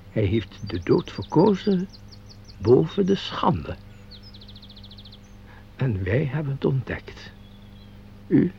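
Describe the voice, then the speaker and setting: An actor playing a sly, fawning, crooked bookkeeper in a radio play, with formal, unctuous, theatrical delivery. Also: male voice, a little high, creaky, full character voice. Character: sly, fawning, embezzling bookkeeper, secret lover. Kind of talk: Dutch radio play acting. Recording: dull, noisy radio play recording with hum.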